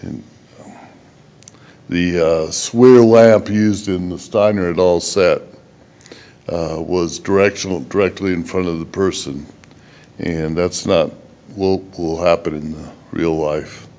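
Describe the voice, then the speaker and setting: A man presenting research at a conference in a halting, plain-spoken way. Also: sits low, steady tone, warm tone. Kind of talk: conference presentation, then answering questions.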